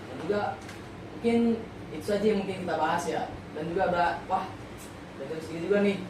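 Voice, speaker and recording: A young man with a high voice talks quickly in an easygoing, agreeable way. He is recorded far from the mic in a strongly echoing room. Clear background noise sits under his voice. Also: fairly even intonation. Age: young adult. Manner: easygoing, agreeable, lots of fillers. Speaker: university student co-host. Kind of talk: casual podcast chat.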